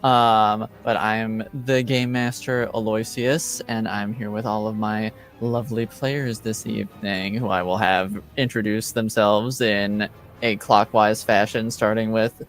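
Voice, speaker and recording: A man's voice: lowish voice, flat delivery, unhurried, faint music behind. A man speaking casually as the host and game master of a tabletop roleplaying stream.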